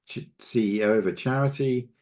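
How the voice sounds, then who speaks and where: A middle-aged or older man, answering casually as an interview guest, pausing and correcting himself. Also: mid-range voice, darkish tone. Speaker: leadership coach, podcast guest.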